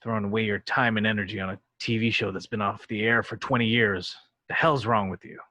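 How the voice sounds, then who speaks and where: Washed-up TV actor, read by a man, irritable, emphatic, bright edgy voice, very dry sound. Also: on the low side, steady tone, a little fast, dry recording. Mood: angry, exasperated. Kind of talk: amateur screenplay table read.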